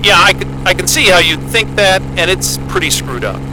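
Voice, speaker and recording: A man's voice: sits high, animated, normal speed. A man acting as an earnest, bookish young astronomer in an audio drama, with a hesitant, polite, wry way of talking. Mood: assured, casual. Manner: hesitant, polite, wry, stammering over words. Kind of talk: naturalistic audio drama dialogue. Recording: loud engine hum and vehicle noise.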